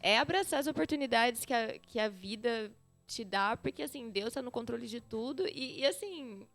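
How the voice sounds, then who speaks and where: Brazilian podcast host with a gravelly, fairly high voice. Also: female voice, expressive, moderate pace, a bit bright, soft background music. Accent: Brazilian Portuguese. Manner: agreeable, affirming, reflective. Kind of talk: casual chat.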